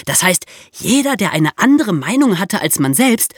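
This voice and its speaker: A history podcast co-host speaks with very animated, swinging intonation. The delivery is conversational and explanatory, with playfully ironic emphasis. The sound is dry and free of room echo. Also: mid-range voice, normal speed.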